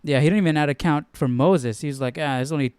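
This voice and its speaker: Man, casual live commentary, musing and jokey, crisp smooth voice, no room echo. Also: on the high side, quick pace, a little mellow, clean studio sound. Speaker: Bible study live stream host.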